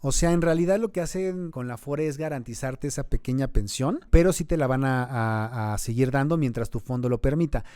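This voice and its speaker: A man's voice: medium pitch, a little fast, hardly any pauses, mellow, clean tone, dry recording. A Mexican man in his thirties who works as a financial advisor, explaining retirement savings in an interview in an earnest, advising way and building to emphatic points. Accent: Mexican Spanish. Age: 30s.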